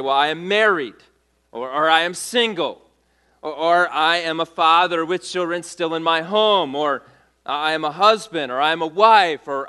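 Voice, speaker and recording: Bright, clear-voiced male pastor. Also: a little high. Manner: measured, repeats key questions. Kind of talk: sermon. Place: church.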